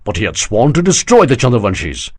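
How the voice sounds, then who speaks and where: A male narrator plays a proud army general in an audiobook, scornful and unbending. The voice is husky with a lively, swinging pitch. He speaks with flat certainty. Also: fast talker. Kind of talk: audiobook character voice.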